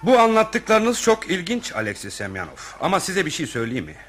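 A man's voice: high voice, wildly swooping pitch, brisk, fairly bright tone, faint music behind, heavily acted. A man playing a self-assured, wordy, pompous senior official in a radio theatre comedy. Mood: smug. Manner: pompous, enumerating.

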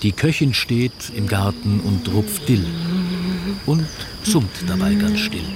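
An actor narrating a comic rhyming radio play in measured verse. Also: male voice, medium pitch, sing-song, over loud music. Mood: wry storytelling. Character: male storyteller of a comic rhyming tale. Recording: loud chirping insects behind narration.

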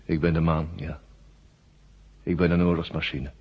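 A man playing an ancient, worn-out war machine brain in a 1970s radio drama, weary and forgetful, in flat, halting, repetitive statements. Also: very deep voice, husky. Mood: flat, dutiful. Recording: muffled old radio drama recording.

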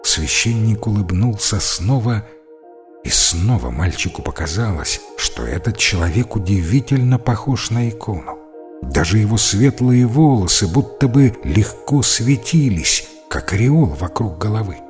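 A man's voice: on the low side, animated, a little slow. A man narrating an audiobook tale in a scripted storytelling style. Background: music.

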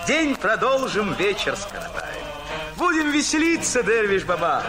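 Jolly, laughing carefree fellow; high, swooping delivery over music. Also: male voice, measured pace, full character voice. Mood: merry. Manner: laughing, lively, playful. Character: merry poor man Gasan, jolly, carefree. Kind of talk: audio fairy tale acting.

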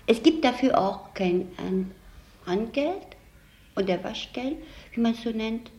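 Slow-talking woman on roomy, muffled tape. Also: on the high side, animated, a little mellow. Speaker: department store saleswoman. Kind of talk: plain interview testimony. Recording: roomy, dull lo-fi interview tape.

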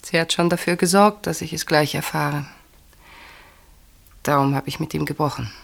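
Guarded, troubled woman, lowish voice. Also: fairly even intonation, normal speed, vocal fry. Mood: subdued, bitter. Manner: hesitant, evasive, dramatic. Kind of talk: German radio play acting.

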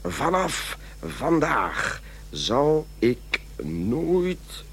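Gravelly, pompous amateur diarist. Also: male voice, a little high, big pitch swings, extremely slow, slight background noise, heavily acted. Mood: solemn, self-pleased. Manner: pompous dictation, muttering self-corrections. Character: fussy, self-important henpecked man writing his journal. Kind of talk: radio play monologue.

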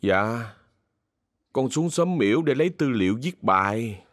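A man's voice: medium pitch, expressive, a little slow, no echo, clean studio sound, natural voice. A man voicing a polite, uneasy young male writer with a southern Vietnamese accent, hesitant, in a horror audio story.

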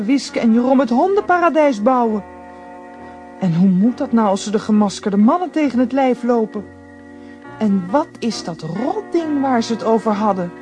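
A woman's voice: on the high side, darkish tone. A woman voicing a worried young girl in a children's radio drama, anxiously asking questions aloud.

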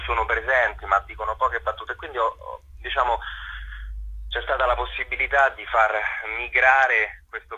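A man giving spontaneous interview answers as a theatre director, reflective and halting, often rephrasing himself mid-sentence. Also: on the low side, sharp tone, breathy edge. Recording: muffled, lo-fi radio phone-in sound.

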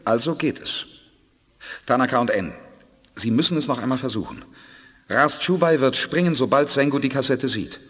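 An actor playing a determined, confident space expedition commander in a radio drama, authoritative and persuasive. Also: male voice, mid-range voice, moderate pace, pauses often, fairly bright tone, breathy edge. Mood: focused. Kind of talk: 1980s German radio drama acting.

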